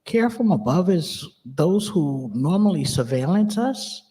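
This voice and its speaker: A woman speaks on a panel in a low, husky voice. Her delivery is direct and plain-spoken, with firm emphasis. A big hall's reverb trails her words. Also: big pitch swings, measured pace, dark tone. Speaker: panelist, activist. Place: large auditorium stage. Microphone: handheld microphone. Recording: reverberant hall sound.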